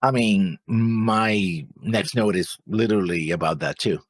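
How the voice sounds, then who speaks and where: Deep, husky man thinking aloud. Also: unhurried, no echo. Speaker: reaction show co-host. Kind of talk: casual discussion.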